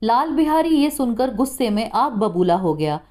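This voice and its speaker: A woman narrating a scripted short story in a measured, expressive storytelling manner. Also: a little high.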